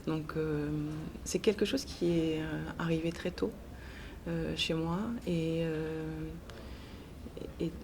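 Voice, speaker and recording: A woman answering interview questions in a hesitant, halting way with frequent pauses. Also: sits low, little pitch movement, darkish tone. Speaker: interviewed author and teacher. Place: book festival. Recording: noisy festival ambience. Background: clear ambient noise.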